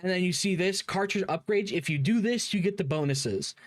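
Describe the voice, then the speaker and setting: A man's voice: high-pitched, a little fast, dry recording. A man giving casual live commentary as a streamer, informal and emphatically repeating himself. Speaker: streamer reacting to a game video.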